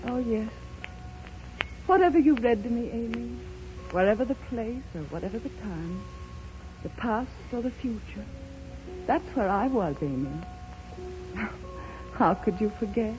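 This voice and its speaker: A woman's voice: a little high, expressive, unhurried, pauses often. An actress playing a serene, rapturous bedridden blind woman in a 1930s radio drama, speaking with lyrical, theatrical fervor. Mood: rapturous, insistent. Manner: theatrical, impassioned, lyrical declamation. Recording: muffled 1930s radio broadcast, loud music.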